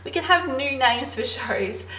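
A woman's voice: high-pitched, lively intonation, unhurried, sharp tone, a little hiss or hum. An Australian woman with a slight lisp co-hosting a podcast, chatting casually, often giggling and thinking aloud. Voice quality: slightly lispy. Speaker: podcast co-host. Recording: roomy echo, faint ambience.

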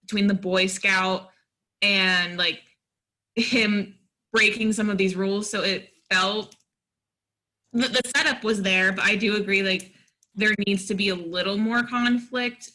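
A woman's voice: medium pitch, steady tone, a little slow, sharp tone, rough recording. A woman giving conversational feedback on a script in a group discussion. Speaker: readers theatre workshop participant.

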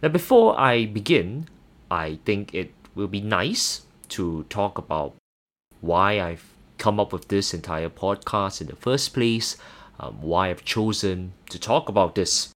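A young man with a Singaporean accent hosting a solo personal finance podcast, earnest and measured, with occasional hesitant fillers. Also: fairly low pitch, expressive, a bit bright. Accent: Singaporean English. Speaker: podcast host.

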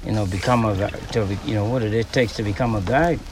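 Bahamian fishing guide, easygoing rambling. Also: male voice, on the low side, fast talker, recorded from a distance, a little hiss or hum. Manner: relaxed, rambling, many "you know" fillers. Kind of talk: recorded interview on a boat. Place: outdoors on the water by boat.

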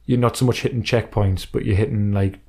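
Low, mellow-voiced man chatting casually. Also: fairly even intonation, brisk, vocal fry, slightly rough. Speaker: podcast co-host. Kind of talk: casual chat about retro racing games, reading out listener emails.

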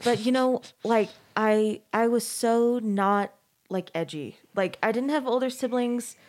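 A woman's voice: a little high, measured pace, a little mellow. A woman in her thirties hosting a podcast, talking in a rambling, casual way with many fillers and restarts. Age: 30s. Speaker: podcast host. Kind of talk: casual chat.

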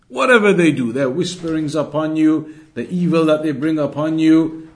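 Higher-pitched man teaching a religious lesson. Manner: explanatory, repeats and rephrases points for emphasis. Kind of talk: Islamic lesson, reading Arabic text and explaining it.

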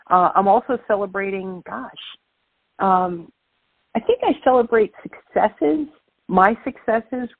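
A woman's voice: on the low side, breathy edge, dry recording. A middle-aged woman from Brooklyn with a Brooklyn accent, a guest calling in to an interview and speaking energetically and emphatically. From Brooklyn, New York. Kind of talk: phone-in interview, casual. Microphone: phone line. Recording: tinny phone line.

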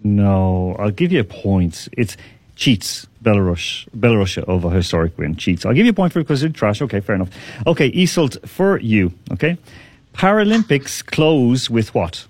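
A man hosting a radio quiz, teasing contestants, laughing often and repeating questions slowly. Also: lowish voice, sing-song, a little mellow, no echo. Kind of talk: lively radio quiz banter.

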